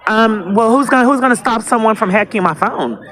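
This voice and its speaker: A woman on a call for help, her voice pitched fairly high. She is insistent and repetitive, pressing her point and often cutting across whoever she is talking to. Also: brisk, fairly bright tone, low-quality recording. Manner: insistent, repetitive, talks over the other person. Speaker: caller to police dispatch. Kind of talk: phone call pleading for help.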